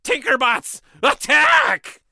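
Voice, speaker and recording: Shrill nerdy villain scientist, high and bumbling, storybook read. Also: male voice, takes it slowly, creaky, sharp tone, dry recording, shouting, studio quality, full character voice. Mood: shouting. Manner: squeaky, shrill villain taunts.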